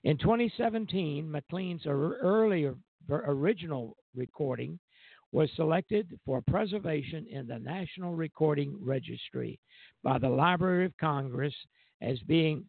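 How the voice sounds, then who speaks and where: A male radio host comes through a thin, phone-like connection. His voice has a gravelly creak and sits fairly close and dry. He reads prepared music history haltingly, breaking phrases and stumbling over the odd word. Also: fairly high pitch, no echo. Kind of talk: scripted music history narration. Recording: tinny, narrow phone-like line.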